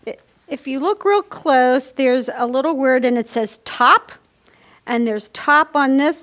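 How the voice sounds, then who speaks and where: High-voiced woman hosting children's storytime. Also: a bit bright. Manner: simple, step-by-step talk addressed to young children. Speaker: library storytime host. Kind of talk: children's storytime reading and craft instruction.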